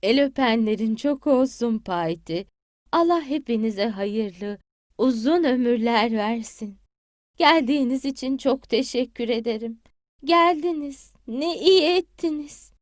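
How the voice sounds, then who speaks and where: A woman's voice: on the high side, expressive, a little slow, no echo, studio quality. Woman voicing a kindly old grandmother hen. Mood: affectionate. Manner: affectionate, blessing and fussing over children.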